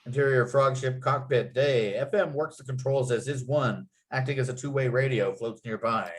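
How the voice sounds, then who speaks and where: Man, mid-pitched voice, narrator, scripted stage directions, table read delivery. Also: moderate pace.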